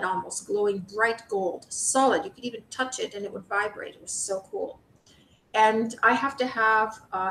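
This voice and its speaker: A woman giving an informal, rambling spiritual monologue to the public, speaking emphatically and indignantly with some swearing. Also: fairly bright tone. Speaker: video creator speaking to the public. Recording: roomy echo, noisy low rumble.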